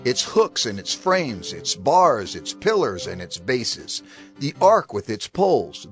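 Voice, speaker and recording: An actor playing a male prophet who solemnly instructs his people with deliberate, declamatory phrasing in a dramatized scripture reading. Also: medium pitch.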